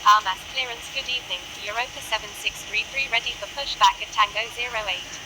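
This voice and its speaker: A woman making scripted air traffic radio calls in a clipped, formulaic manner through a radio effect. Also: quick pace. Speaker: airline pilot on radio. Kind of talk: scripted aviation radio phraseology. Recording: noisy aviation radio with background rumble.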